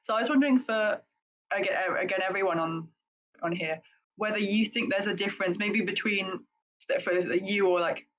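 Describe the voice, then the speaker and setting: A woman's voice: mid-range voice, moderate pace, a bit bright. A woman co-hosting a casual panel podcast, rambling and searching for words as she asks questions. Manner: rambling, full of "like" fillers. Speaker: podcast co-host. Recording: slightly muffled audio.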